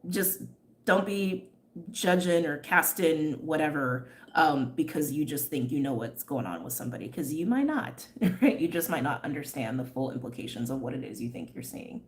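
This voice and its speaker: A young woman with a slightly wheezy voice from asthma, chatting candidly on a livestream and reading out viewer comments, full of fillers and quick laughs. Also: low-pitched, expressive. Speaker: tarot reader and livestream host.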